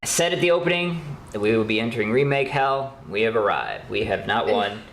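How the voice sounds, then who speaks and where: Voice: animated, brisk. A co-host of a horror news show giving opinionated, casual commentary in an emphatic way, repeating words for effect. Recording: roomy echo, background rumble noise.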